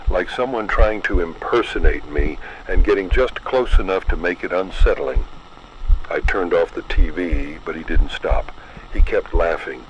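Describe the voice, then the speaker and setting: A man narrating a scripted horror story in a low, measured, ominous way. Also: a little hiss or hum, low-quality recording.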